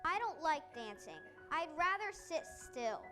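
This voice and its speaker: A woman's voice: very high voice, sing-song, a little slow, music in the background, full character voice. A woman playing a shy, defensive little female toy rabbit in a storybook readers' theater, childlike and earnest. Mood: shy, reluctant.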